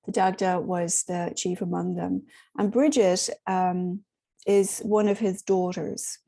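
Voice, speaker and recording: A hoarse woman with a sore throat, gently leading an online spiritual group in a rambling, casual way with many pauses. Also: on the low side, flat delivery. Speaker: spiritual workshop host.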